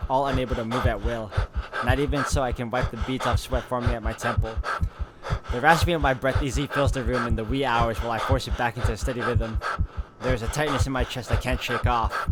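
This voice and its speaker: A man's voice: medium pitch, low-quality recording. A man hosting a let's-play, reading visual novel narration aloud and adding casual commentary. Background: loud music.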